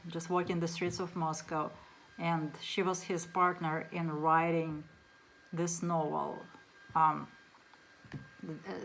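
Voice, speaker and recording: Russian accent lady, kinda low voice, chatting about a book with lots of ums, faint hiss behind. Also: fairly bright tone, low-quality recording. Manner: unhurried, with many ums and uhs. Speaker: book reviewer. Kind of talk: casual book talk to camera.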